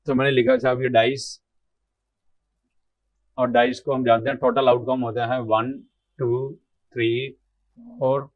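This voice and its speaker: A man with a slightly hoarse, mid-pitched voice walks through exam math problems one step at a time, talking to himself as he writes. The sound is dull and lo-fi, recorded dry and close with no room echo. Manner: explains aloud while writing, repeats numbers, asks and answers his own questions. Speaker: math teacher.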